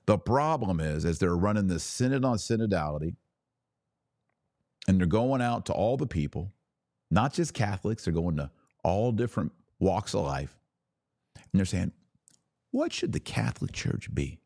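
A man's voice: sits low, expressive, normal speed, long pauses, darkish tone, dry recording, clean studio sound. A man hosting a podcast, giving opinionated religious commentary in a preaching style, emphatic, with rhetorical questions and sarcastic asides.

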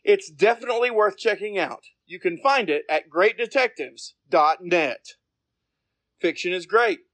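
Man, high voice, podcast host, scripted review narration, dry sound. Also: a little slow, a bit bright, no room sound.

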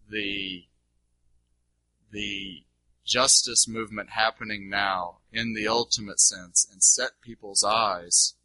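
A man's voice: fairly low pitch, pushed and bright, no echo, rough recording. A man teaching in a question-and-answer session, speaking in an informal, discursive way with rhetorical questions.

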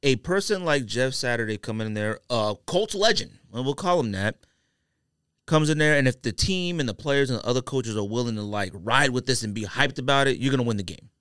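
A man's voice: mid-range voice, expressive, a little fast, a bit bright, smooth, no echo, studio quality. A man co-hosting a casual sports podcast, arguing emphatically and often repeating himself to make a point. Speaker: podcast co-host.

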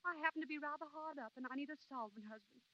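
An actress playing an icy, mercenary jilted fiancée with a clipped, cutting manner in a 1930s radio drama. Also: female voice, high-pitched, very fast, close to an everyday voice. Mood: scornful. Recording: dull lo-fi 1930s radio recording.

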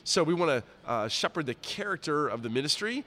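A man with a raspy, nasally congested voice, chatting casually as a podcast host. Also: on the high side, animated, fairly quick, pushed and bright. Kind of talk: casual interview chat. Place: conference center during a busy event. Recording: live at a busy event venue.

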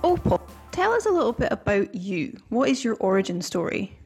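A woman's voice: mid-range voice, sing-song, a little fast, gravelly, darkish tone, soft background music. Chatty podcast host from Scotland, woman. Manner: chatty, rambling with many fillers and restarts. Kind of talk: casual podcast conversation.

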